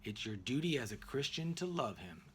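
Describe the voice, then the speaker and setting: A kindly but firm storybook parent, read by a man. The words come out emphatic and instructive at a middle pitch. There is slight noise in the background. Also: very animated, normal speed, fairly bright tone. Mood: firm, encouraging. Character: kindly, firm parent giving moral guidance.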